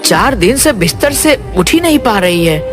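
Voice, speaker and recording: A man playing a proud, caring village sweet-seller father in an animated moral story, speaking earnestly and emphatically. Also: high-pitched, moderate pace, music in the background. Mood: worried.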